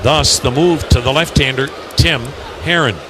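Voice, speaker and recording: An American man calling live baseball on the radio, mixing measured storytelling with clipped pitch calls. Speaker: baseball radio play-by-play announcer. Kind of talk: live commentary with conversational asides. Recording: clearly noisy ballpark broadcast.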